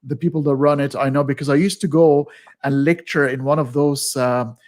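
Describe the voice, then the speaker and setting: A male host chatting in a friendly, halting way on a video call, often restarting his sentences. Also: medium pitch, fairly even intonation, a little mellow. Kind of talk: casual interview chat.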